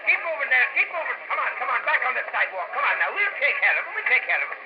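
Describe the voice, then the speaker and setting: An actor playing an officious, excitable policeman who blusters and repeats himself, in 1940s radio style. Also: very high-pitched, very fast, heavily acted. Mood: bossy. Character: officious, excitable beat policeman, bossy and dogged. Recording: noisy, muffled old-time radio recording.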